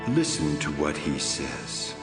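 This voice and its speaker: An actor playing the solemn, fatherly voice of God in a dramatised scripture recording, speaking in a measured way. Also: extremely low pitch, very animated, exaggerated voice. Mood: solemn, loving. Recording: echoing long reverb, music underneath. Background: music.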